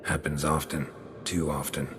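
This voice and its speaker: An actor playing a wry, stoic monster hunter in a video game, gravelly and laconic with deadpan, clipped phrasing. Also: male voice, very deep voice, moderate pace, full character voice. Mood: businesslike, dry. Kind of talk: video game cutscene dialogue.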